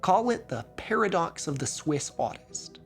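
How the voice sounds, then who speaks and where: A man's voice: a little slow, gravelly, faint music behind. A man from Oregon narrating a documentary in a wry, conversational storytelling manner. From Oregon, USA. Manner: wry, conversational storytelling with lively pitch swings.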